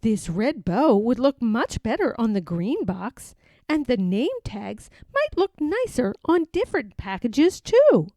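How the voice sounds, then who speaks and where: A woman reading a children's picture book aloud in an expressive, sing-song way. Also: fairly high pitch, a little slow, no echo, clean studio sound. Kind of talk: storybook read-aloud.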